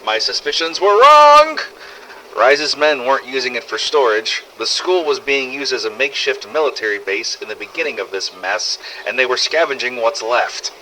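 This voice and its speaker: A man playing a weary, sardonic, foul-mouthed survivor of a zombie apocalypse, recording expressive first-person audio logs in a game-style drama. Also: medium pitch, moderate pace, slight background noise, poor audio. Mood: wry recounting. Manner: sardonic, expressive, emphatic swearing.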